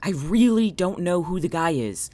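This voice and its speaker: Stressed, snappy young woman, very animated, acted out. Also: medium pitch, normal speed, no room sound, lightly acted. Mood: anxious, hushed. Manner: snappy, sarcastic. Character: stressed, irritable, guarded teenage or young adult woman. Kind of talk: naturalistic audio drama dialogue.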